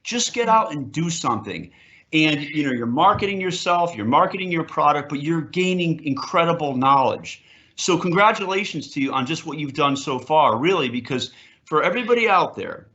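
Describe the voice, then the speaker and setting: A man's voice: a little high, very animated, moderate pace, pushed and bright, muffled recording. A man on a panel call giving informal, encouraging advice in short punchy phrases. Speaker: panelist encouraging young entrepreneurs. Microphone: online call.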